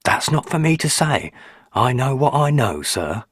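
A man's voice: mid-range voice, sing-song, measured pace, breathy edge, no echo, studio quality, pitched above the performer's own voice. A man voicing an elderly, stubborn, superstitious rustic housekeeper woman who speaks in country dialect. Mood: ominous. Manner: rustic dialect grammar, hushed ominous.

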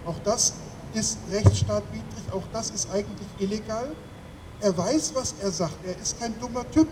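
A man giving a political speech at a rally, speaking with deliberate, emphatic phrasing. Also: high voice. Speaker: speaker at a refugee-rights demonstration. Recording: echoing, distant microphone, loud ambient traffic noise.

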